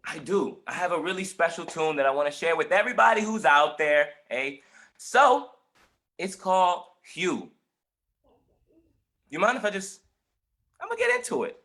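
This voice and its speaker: A man's voice: on the high side, very animated, moderate pace, pauses often, pushed and bright, heavily acted. A man voicing an easygoing male rapper and dog walker with a Canadian accent, raised by wolves, who keeps saying "eh". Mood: eager. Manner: says "eh" constantly, laid-back. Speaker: guest musician.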